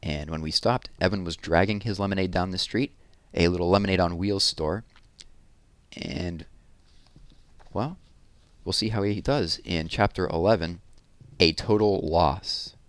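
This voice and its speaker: Deep-voiced man reading a storybook. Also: a little slow, long pauses, dry recording. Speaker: read-aloud narrator. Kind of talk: expressive storybook narration.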